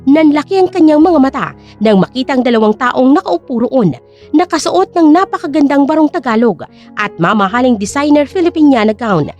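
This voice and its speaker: A woman narrating an audio drama with a steady, storytelling delivery. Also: sits high, a little fast, faint music behind.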